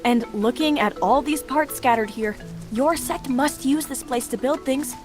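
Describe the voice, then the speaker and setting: An actress playing a plucky, pushy young martial hero in a video game, with an upbeat, coaxing way of talking. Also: female voice, high voice, measured pace. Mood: scheming. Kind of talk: dramatic game cutscene acting. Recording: music behind.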